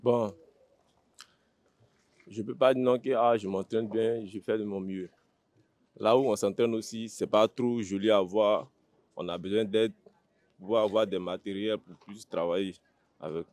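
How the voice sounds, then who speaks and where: A man's voice: fairly low pitch, fairly even intonation, unhurried, long pauses, darkish tone, dry recording. A man with an Ivorian accent, a fighter answering interview questions in a blunt, plain-spoken way with short, repeated phrases. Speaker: MMA fighter being interviewed.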